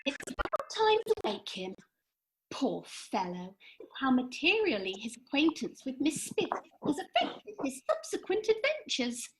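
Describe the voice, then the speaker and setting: A woman's voice: sits high, huge pitch swings, measured pace, full character voice, low-quality recording. A woman playing an airy, matter-of-fact fairy in a comic radio play, breezy and arch, recorded over a home video call. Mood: amused, pitying.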